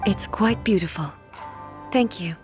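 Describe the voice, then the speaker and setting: An actress voicing a regal, contemplative woman ruler in a video game, speaking in a formal, measured, reflective manner. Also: medium pitch, over music, close to an everyday voice. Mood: calm, gracious. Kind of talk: video game dialogue acting.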